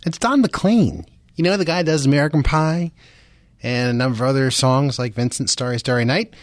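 A man's voice: mid-range voice, normal speed, no room sound. A man hosting a radio show, introducing and questioning a guest in a conversational style.

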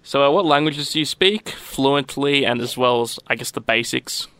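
A man's voice: mid-range voice, moderate pace, bright, forceful tone, no room sound. A man hosting an interview, asking direct questions and reading the show's promos. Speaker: interview host. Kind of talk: interview questions and show outro.

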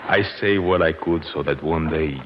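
An actor playing a loyal, anxious elderly Mexican servant with a Spanish accent and halting, deferential delivery in the style of 1950s radio drama. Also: male voice, a bass voice. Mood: earnest, revealing. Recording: noisy, muffled old 1950s radio recording.

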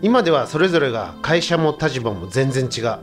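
A man's voice: mid-range voice, big pitch swings, normal speed, close to an everyday voice. An actor playing a sensitive young man in a Japanese radio drama, with casual boyish speech, over faint music. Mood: reflective. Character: sensitive young man among old friends, narrating his worries. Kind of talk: Japanese radio drama acting with inner monologue.

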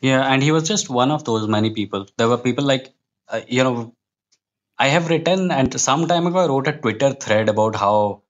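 A man's voice: mid-range voice, fairly quick, lo-fi sound. Reflective Indian man in podcast conversation. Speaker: guest, comic book writer.

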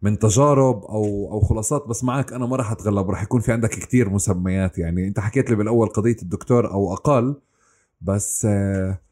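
Quick, mellow-voiced male host. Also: lowish voice. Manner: relaxed, joking, laughs easily. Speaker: interview host. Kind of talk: casual interview chat.

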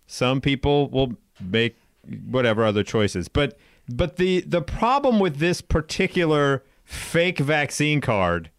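A man's voice: medium pitch, big pitch swings, takes it slowly, clean tone, no room sound, clean studio sound. A man co-hosting a casual show, bantering and retelling odd news stories with jokes and mock voices. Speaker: co-host.

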